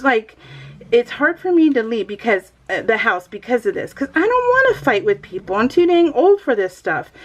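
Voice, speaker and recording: A woman's voice: sits high, lo-fi sound. A middle-aged American woman chatting casually as a vlogger, telling personal stories in a rambling, emphatic way with exasperated asides.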